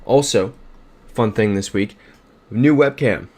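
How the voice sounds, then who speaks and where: A young man hosts a casual livestream from his room, upbeat as he thinks aloud and reads the chat. His voice sits on the low side, captured on a desk mic. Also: takes it slowly, darkish tone, husky. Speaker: streamer.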